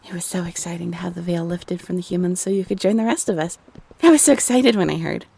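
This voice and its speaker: Actress as small young female fantasy creature, friendly local guide, chatty, easily flustered, faintly husky, creaky edge. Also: fairly low pitch, fast talker, mellow. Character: short friendly young female non-human city local. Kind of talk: roleplay audio drama.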